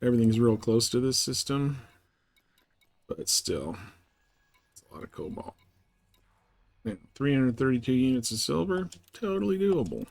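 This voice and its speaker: A man's voice: medium pitch, lively intonation, normal speed, vocal fry, long pauses. A man livestreaming a game, talking casually with muttered asides and the odd swear word. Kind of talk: casual gaming commentary.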